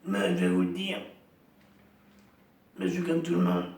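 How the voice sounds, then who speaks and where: A man playing a lonely, proud woman in her fifties, a chain-smoking heavy drinker with a deep, gravelly voice who rambles slowly and repeats herself in a comic monologue. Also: big pitch swings, a little mellow, echoey room, far from the mic. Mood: rambling. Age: 50s. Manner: slow, rambling, repetitive, self-pitying.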